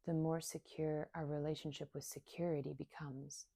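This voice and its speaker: A woman guides a meditation in a low, mellow voice with very little melodic movement. She speaks in short, soothing phrases with long pauses, and her phrases soften as they end. The delivery is slow and unhurried. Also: flat delivery, vocal fry, no echo, clean studio sound. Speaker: meditation guide and somatic coach.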